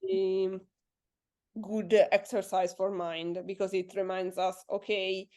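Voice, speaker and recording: A woman's voice: mid-range voice, flat delivery, measured pace, a little mellow. Hesitant young woman in a science interview. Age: 20s. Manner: thoughtful, hesitant, many fillers. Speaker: guest astrophysics PhD student. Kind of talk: casual interview chat.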